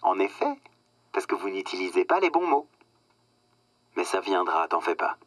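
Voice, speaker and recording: A condescending corporate boss in a darkly comic radio drama. His voice is bright and sharp, with a patronizing, self-satisfied way of explaining things. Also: low-pitched, sing-song, moderate pace, heavily acted. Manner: patronizing, self-satisfied, hesitating with filler words. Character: smug, condescending corporate boss phoning an employee. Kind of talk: satirical radio drama acting.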